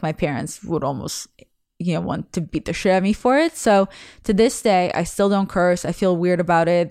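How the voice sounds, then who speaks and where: A woman's voice: fairly low pitch, darkish tone, smooth, no echo, clean studio sound. A young woman whose first language is Russian, a podcast host talking candidly and chattily about herself, rambling with restarts. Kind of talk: casual confessional monologue.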